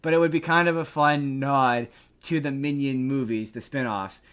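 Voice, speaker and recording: A man hosting a podcast, chatting casually through entertainment news in a rambling way with animated asides. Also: medium pitch, normal speed, smooth. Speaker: podcast host.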